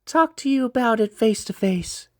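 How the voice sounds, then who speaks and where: Man putting on a young woman's voice, big sister, high and chirpy, storybook. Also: expressive, normal speed, dry recording, clean studio sound, lightly acted. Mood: affectionate. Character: cheerful young woman, protective older sister.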